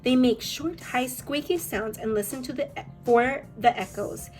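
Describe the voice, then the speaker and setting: A woman's voice: high-pitched, normal speed, a little mellow, faint music behind, rough recording. A woman teacher leading a read-aloud lesson for young children, speaking in an encouraging way. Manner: encouraging, addressing young pupils. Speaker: elementary school teacher.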